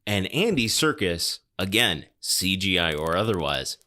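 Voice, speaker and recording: Animated, bright-voiced man, lowish pitch. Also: measured pace. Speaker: podcast host. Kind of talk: casual film discussion chat.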